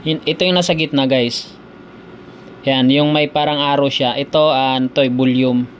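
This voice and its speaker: A man giving a casual, unscripted product tutorial, explaining haltingly with many repeats and fillers. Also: mid-range voice, steady tone, a little hiss or hum. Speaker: tutorial video host.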